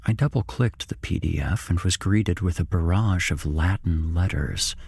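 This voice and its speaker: A man's voice: deep voice, normal speed, gravelly, darkish tone, breathy edge, no room sound, clean studio sound. A man narrating a scripted horror story in a whispered ASMR style.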